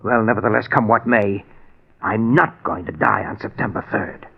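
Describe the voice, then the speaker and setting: A man's voice: fairly low pitch, normal speed, creaky, heavy background noise. An actor playing a haunted man obsessed with cheating death, in an agitated, theatrical 1940s radio drama style. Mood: urgent resolve. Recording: muffled, band-limited old 1940s radio recording.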